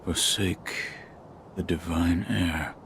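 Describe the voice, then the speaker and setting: An actor playing a stoic, obedient shinobi warrior in a video game, terse and solemn. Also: male voice, deep voice, fairly even intonation, slow, gravelly, slight background noise, full character voice. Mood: solemn obedience. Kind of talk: video game cutscene acting.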